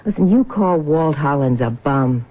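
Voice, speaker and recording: Scheming, restless younger wife for vintage radio drama: low, hard-edged, dismissive, band-limited broadcast sound. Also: female voice, animated, measured pace, phone-quality sound. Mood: taunting. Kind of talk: 1970s radio drama acting.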